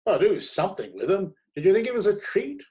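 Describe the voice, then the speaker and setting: A man voicing a hearty, overbearing, buoyant newspaper editor in an audiobook, with booming, brusque, clipped exclamations. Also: very animated, fairly quick, dark tone. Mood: blustering. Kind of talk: audiobook character voice.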